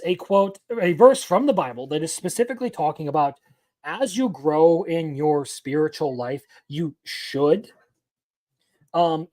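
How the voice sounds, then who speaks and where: A man's voice: a little high, a little mellow. Young guy ranting on his news stream, casual, chats back with viewers. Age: 20s. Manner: opinionated, rambling, reacts to chat. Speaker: anime news commentator. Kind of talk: casual live-stream commentary.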